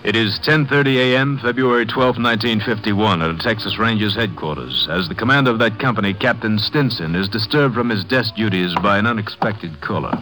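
A man's voice: fairly low pitch, a little fast, hardly any pauses, rough recording. Male radio-drama narrator over prominent music. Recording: old radio recording.

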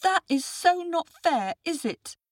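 An earnest little storybook boy, voiced by a woman, pitched very high and light. He pleads and protests with frightened indignation, his voice jumping expressively up and down. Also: sing-song, slow, no room sound, clean studio sound, heavily acted. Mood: pleading.

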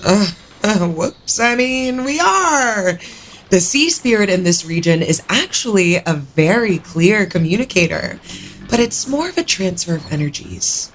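An actress plays a showy, flirtatious female adventurer in a fantasy audio drama. Her pitch swings widely as she teases and huffs with theatrical impatience. A faint background noise sits behind her. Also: very animated, a little slow, poor audio. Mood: defensive, showy. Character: flamboyant, flirty, impatient female sea-spirit medium and adventurer. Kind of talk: scripted audio drama acting.

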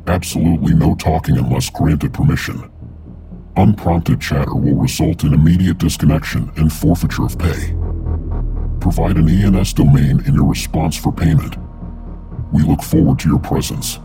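A man acting a cold, anonymous gatekeeper in an audio drama, formal, measured and ominous. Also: extremely low pitch, gravelly, dark tone, soft background music. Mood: ominous, controlled. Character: cold, anonymous male host issuing strict secret instructions.

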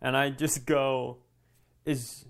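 A man's voice: mid-range voice, slow pace. A man hosting a comedy podcast, telling a story casually and animatedly, swearing freely and acting out the dialogue. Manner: animated, profane, acts out quoted dialogue.

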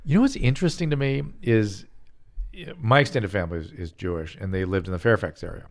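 A man's voice: fairly low pitch, fast. A man hosting a podcast interview, asking curious questions with stammered restarts. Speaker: podcast host.

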